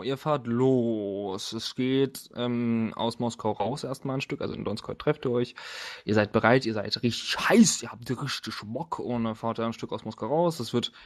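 A man narrating as game master of a tabletop role-playing session in a casual, joking, halting way. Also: medium pitch.

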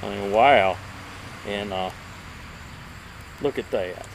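A man in his fifties presenting a casual show-and-tell as a video host, halting and lingering over his words, recorded outdoors a few feet from the camera. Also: fairly low pitch, rough recording. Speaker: vintage radio collector and video host. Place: outdoor table in a wooded yard. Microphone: camera a few feet away. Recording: steady outdoor background noise.

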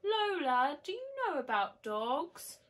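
Storybook boy, read by a woman: careful dog owner, firm, emphatic, instructing, varied pitch. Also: sing-song, slow pace, a bit bright, slight background noise, full character voice. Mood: doubtful.

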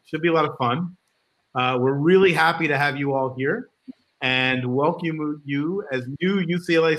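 A man's voice: medium pitch, a bit bright, low-quality recording. A middle-aged man, a department chair, casually welcoming new students on a video call. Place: home room on a video call.

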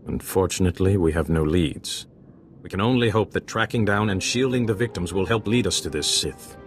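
An actor plays a sombre man on a Jedi council in a game cutscene. He speaks low, with grave deliberation. There is faint ambience behind him. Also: brisk, a little hiss or hum, close to an everyday voice. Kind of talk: video game voice acting.

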